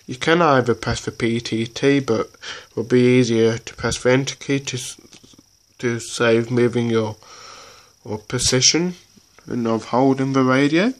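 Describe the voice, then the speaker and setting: A man giving an informal hands-on tutorial in a halting, repetitive way, often checking in as he goes. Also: mid-range voice. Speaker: presenter of a tutorial. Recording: noisy homemade recording.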